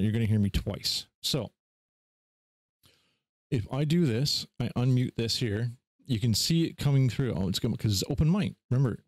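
A man hosting a casual screen-share software tutorial in an informal, offhand way. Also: medium pitch, no room sound. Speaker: tutorial host.

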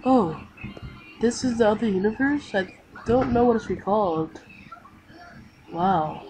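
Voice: medium pitch, animated, takes it slowly, background noise. A gamer improvising an anime roleplay over video gameplay, acting out dialogue and calling out attacks.

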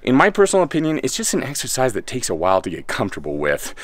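A relaxed, upbeat man in his thirties talks through a home workout as a fitness coach, tossing in an easygoing "baby" now and then. His voice sits at a middle pitch and is recorded close and dry, with no room sound around it. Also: very fast, dry recording. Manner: laid-back, enthusiastic, says "baby". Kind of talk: workout voice-over instruction. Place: outdoor home gym by a garage.

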